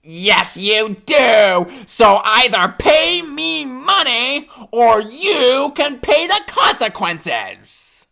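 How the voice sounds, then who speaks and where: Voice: sits high, wildly swooping pitch, extremely slow, few pauses, bright, forceful tone, pitched above the performer's own voice, exaggerated voice. Narrator doing a greedy pushy honey badger. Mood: demanding.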